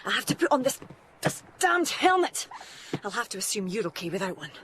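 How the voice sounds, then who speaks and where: An actress playing a headstrong daughter in peril in an audio drama, urgent and emotional. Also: female voice, fairly high pitch, big pitch swings, fairly quick, fairly bright tone, slight background noise. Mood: determined.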